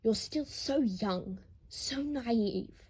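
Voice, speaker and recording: A woman's voice: on the high side, very slow, a little mellow, slightly rough, heavily acted. A woman voicing a proud, bitter older aristocratic father in an audiobook drama, speaking in a haughty, formal, reproachful way. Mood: condescending, bitter.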